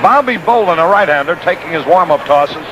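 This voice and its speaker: An American man calling baseball play-by-play on live radio in clipped, punchy phrases with pauses between plays. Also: a little high, lively intonation. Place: outdoor ballpark broadcast booth. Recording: noisy, muffled 1960s AM radio broadcast.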